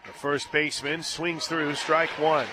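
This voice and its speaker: A man calling a live softball game on radio from a stadium booth, climbing into excited shouts on big plays and laughing easily. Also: on the high side, a little slow, noisy background. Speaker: radio play-by-play announcer. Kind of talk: live radio sports play-by-play.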